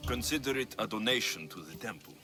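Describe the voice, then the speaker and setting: An actor playing a confident young mercenary in a video game, terse and dry. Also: male voice, lowish voice, animated, fast talker, over music, lightly acted. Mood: dry, curious. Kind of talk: video game cutscene acting.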